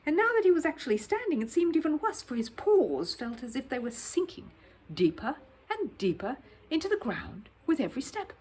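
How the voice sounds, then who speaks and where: A woman reading a children's story as an expressive, dramatic narrator. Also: sits high, brisk. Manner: animated, dramatic.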